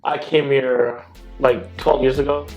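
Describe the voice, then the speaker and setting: A man's voice: mid-range voice, level tone, unhurried, lo-fi sound, soft background music. A man from India, a guest investor in a casual podcast chat, talking with many "uh"s and "like"s. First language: Gujarati or other Indian language. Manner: hesitant, many fillers like "uh" and "like".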